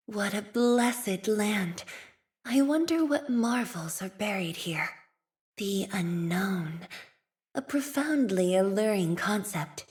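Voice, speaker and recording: Game role: composed young female scientist-leader, played by an actress. Soft, slow, measured delivery, mid-range pitch, gently wistful and earnest. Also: lightly acted. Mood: quietly awed. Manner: measured, formal, thoughtful phrasing. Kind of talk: video game character voice lines.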